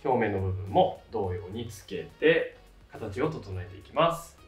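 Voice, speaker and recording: A man's voice: lowish voice, very animated, measured pace. A man in his thirties explaining a tutorial step by step in a casual, polite instructional style. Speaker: hairdresser giving a tutorial, channel host. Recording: roomy echo, distant mic, faint music. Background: faint background music.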